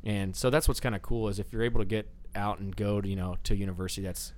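Fast-talking man hosting a school podcast. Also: fairly low pitch, little pitch movement, darkish tone. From Indiana. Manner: rambling, talks in restarts with many fillers. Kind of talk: casual chat.

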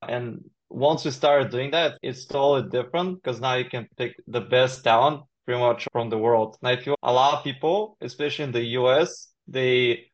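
A man's voice: medium pitch, steady tone, moderate pace, few pauses. Casual male podcast host, slightly dull audio. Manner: informal, frequent "like" and "I feel". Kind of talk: casual chat interview.

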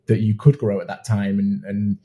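Dark-voiced man hosting. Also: on the low side, completely flat delivery, moderate pace. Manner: chatty, affirming, many yeahs and restarts. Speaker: podcast host. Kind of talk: conversational interview.

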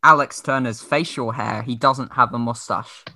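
A man's voice: medium pitch, fairly even intonation, moderate pace, sharp tone, dry recording. A young man co-hosting a podcast, chatting casually and jokily about music. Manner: jokey, playful. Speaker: podcast co-host.

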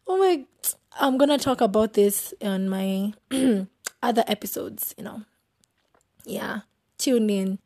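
A young woman with a Kenyan accent, hosting a casual solo podcast, giggly and playful, often trailing off into soft asides. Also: mid-range voice. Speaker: podcast host.

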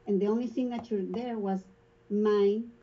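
A woman's voice: medium pitch, fairly even intonation, moderate pace. Woman, Spanish accent, chatty storyteller, laughs a lot, slightly dull recording. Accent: Spanish-speaking. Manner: laughs often while telling stories. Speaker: school front office staff. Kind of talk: casual interview conversation.

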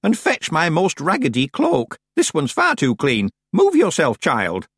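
A man's voice: a little high, wildly swooping pitch, measured pace, a bit bright, slightly rough, no room sound, studio quality, pitched above the performer's own voice, full character voice. Man putting on a bossy very old witch woman. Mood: frantic. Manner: bossy, imperious, fussy. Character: very old sharp-tongued village witch woman.

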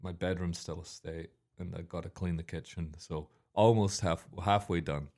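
A young man with a very low voice co-hosts a student radio show from the studio mic. He rambles, corrects himself and cracks jokes as he chats. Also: fairly even intonation, moderate pace, darkish tone. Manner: rambling, self-correcting, joking. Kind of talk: casual student radio chat. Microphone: studio microphone.

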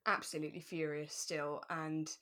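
A woman's voice: sits low, flat delivery, moderate pace, sharp tone. A British woman chatting casually and informally as a podcast co-host. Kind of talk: relaxed casual chat.

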